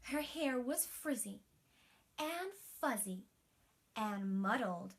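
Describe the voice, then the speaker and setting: A woman telling a bedtime story to young children as a princess, expressive, with breathy emphasis and chatty asides. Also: fairly high pitch, slow.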